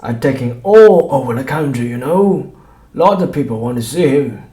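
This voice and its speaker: A man's voice: medium pitch, lively intonation, moderate pace, warm tone, reverberant, voice pushed up, close to an everyday voice, low-quality recording. A man voicing a bullying, fawning, greedy sideshow shopkeeper for an audiobook, with emphatic, servile delivery. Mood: fawning, boastful. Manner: fawning, emphatic, says sir repeatedly. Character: loud, grinning, greedy male sideshow shopkeeper, bullying and obsequious. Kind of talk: audiobook character voice.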